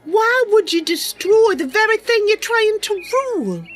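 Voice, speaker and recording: A woman's voice: very high-pitched, animated, measured pace, faint music behind, voice pushed up. A woman voicing an earnest, naive young female wood elf adventurer in game roleplay, sincere and a little hesitant. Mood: dismayed. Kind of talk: improvised in-character game roleplay.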